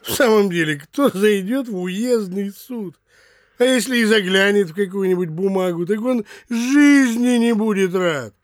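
Complacent high-voiced provincial judge. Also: male voice, measured pace, no room sound, studio quality. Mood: smug, unworried. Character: complacent, self-assured male provincial judge. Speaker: actor in audio play. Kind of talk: theatrical comedy audio drama acting.

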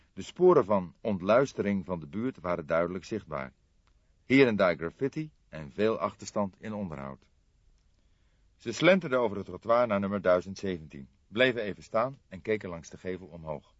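Low-voiced man narrating an audiobook. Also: lively intonation, moderate pace, no room sound, highs cut off. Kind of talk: scripted literary narration.

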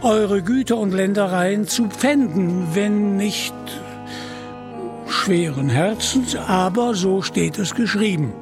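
A man's voice: high-pitched, lively intonation, takes it slowly, over music, exaggerated voice. A man voicing a greedy, pompous medieval bishop in an audio storybook, with condescending mock courtesy. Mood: oily, mock-polite.

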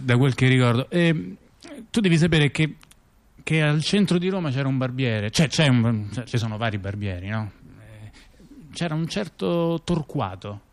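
A man telling a story conversationally, repeating words and often tagging on little questions. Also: medium pitch. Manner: halting, with repeated words and frequent rhetorical tag questions. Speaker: storyteller in a two-person narrative theatre dialogue. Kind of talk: conversational narrative monologue.

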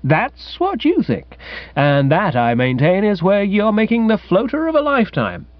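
A breezy young upper-class English gentleman, performed by a man in a comic audiobook. The voice is high and swoops about with chirpy indignation and old-fashioned slang. The sound is dry and slightly dull. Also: wildly swooping pitch, normal speed, no room sound. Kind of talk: comic audiobook character acting.

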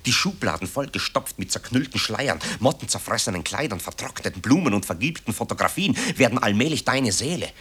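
A man's voice: on the low side, expressive, brisk, few pauses, a bit bright, husky. An actor playing a bitter, guilt-ridden composer who accuses his mother in eloquent, theatrical radio play style. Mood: anguished, scornful. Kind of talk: German radio play acting.

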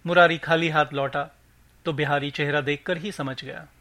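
A man's voice: a little high, steady tone, moderate pace, bright, forceful tone. A man narrating a scripted short story for a podcast, reading as the host.

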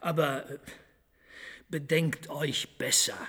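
An actor playing a commanding, wily fortress colonel in a period radio drama, with deliberate pauses, hums and threatening politeness. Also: male voice, a little high, fairly even intonation, fairly bright tone, breathy edge, heavily acted. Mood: amused menace. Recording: long reverberant room.

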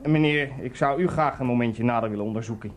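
A man's voice: mid-range voice, fast, lightly acted. A man playing a pompous, soothing and condescending doctor in a satirical radio play, speaking formally with small hesitations. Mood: calm, placating. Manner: pompous, formal wording, patronising reassurance, hesitant interjections.

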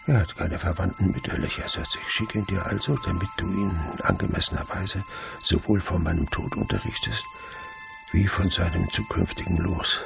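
Gravelly, deep old miser. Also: male voice, fairly even intonation, moderate pace, music in the background. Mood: grave, reading. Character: old miserly male winegrower, wily and falsely humble. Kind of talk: German radio drama acting.

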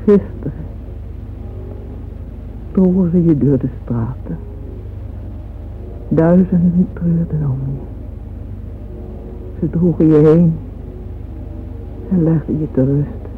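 A grieving old woman speaks very slowly, halting with anguish as in a classic radio play. The sound is dull and narrow, with faint music behind her. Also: low voice. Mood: anguished grief. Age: older. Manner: halting, anguished, dramatic monologue. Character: grieving weary old woman mourning a beloved friend.

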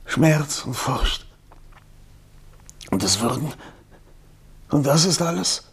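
An actor in a radio play as a dying, feverish, broken writer, husky and gravelly, speaking in halting fragments. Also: male voice, fairly high pitch, expressive, exaggerated voice. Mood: weary, bitter. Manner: halting, murmuring, fragmented speech.

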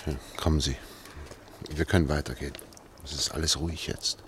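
A man's voice: a bass voice, steady tone, fairly quick, background noise. An actor playing a tense engineer and family man guiding a runaway in a German radio drama, urgent and pleading. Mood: quiet urging.

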